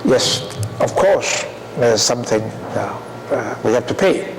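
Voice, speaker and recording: An older man lectures at a slow, deliberate pace and keeps tossing rhetorical questions to his class. His voice is gravelly with a creaky edge and sits a little high. A faint hiss runs under it. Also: expressive. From Taiwan. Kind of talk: university lecture.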